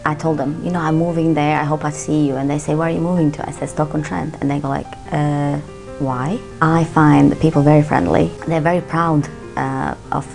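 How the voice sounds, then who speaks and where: A woman's voice: low-pitched, gravelly, darkish tone. A woman from Bosnia and Herzegovina telling, with some hesitation, how she came to live in the city. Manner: earnest, reflective, with hesitations. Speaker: interviewee. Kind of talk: personal testimony.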